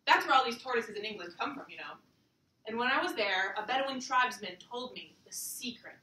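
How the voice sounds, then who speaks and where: A woman's voice: a little high, animated, brisk. A woman playing a kindly, scheming older male neighbor with an earnest storytelling delivery in a staged musical reading. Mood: helpful, secretive. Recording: echoey distant stage recording, loud piano.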